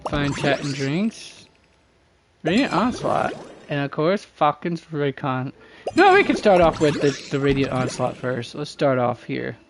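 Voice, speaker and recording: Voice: medium pitch, big pitch swings, unhurried. A streamer giving casual live gameplay commentary in wry, muttered asides. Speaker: game streamer. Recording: game music behind the voice.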